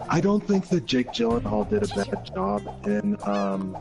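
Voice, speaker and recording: A man's voice: lowish voice, very animated, moderate pace. A man giving his opinions in a casual gaming discussion, explaining emphatically point by point. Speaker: gaming show panelist. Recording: music behind the voice.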